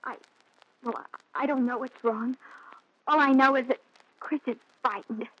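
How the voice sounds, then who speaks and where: A woman's voice: high voice, a little slow, a bit bright. An actress playing an anxious, pleading young woman in her twenties, in 1940s radio thriller style. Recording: muffled 1940s radio recording.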